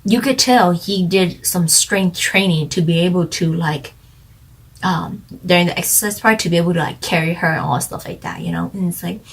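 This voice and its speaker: A woman's voice: lowish voice, normal speed, creaky, breathy edge, low-quality recording. A woman chatting casually as she reviews a drama, rambling and speculating with lots of fillers. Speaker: drama reviewer, channel co-host.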